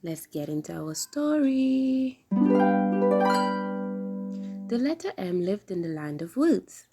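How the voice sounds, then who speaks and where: A woman hosting a children's story time, reading aloud in an animated, expressive way. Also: mid-range voice, takes it slowly, loud music behind the voice.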